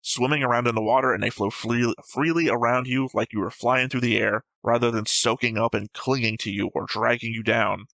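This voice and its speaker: Guy with bright gravelly voice, close dry sound, swears a lot, argues bluntly in casual chat. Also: mid-range voice, fairly even intonation, a little fast, few pauses, dry recording, low-quality recording. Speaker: host of anime reaction show.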